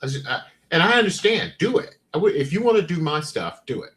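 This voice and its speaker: A man's voice: on the high side, fast. A man co-hosting a casual livestream chat, telling stories animatedly and acting out past conversations. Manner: animated storyteller who acts out past conversations. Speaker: podcast co-host.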